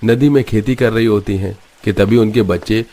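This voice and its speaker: Low-voiced man narrating a story. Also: fairly even intonation, normal speed, mellow. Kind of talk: scripted cartoon story narration in Hindi.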